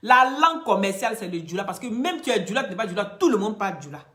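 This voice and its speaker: A woman with an Ivorian French accent speaks straight to her viewers in emphatic, sermon-like commentary. Her voice sits at an ordinary middle pitch. She climbs to loud, insistent peaks, then drops to hushed, breathy asides. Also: big pitch swings, fast. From Ivory Coast. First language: Dioula or another Ivorian language. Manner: emphatic and preachy, loud rising peaks that drop to quiet near-whispered asides. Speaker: social media commentator. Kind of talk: impassioned direct-to-camera commentary.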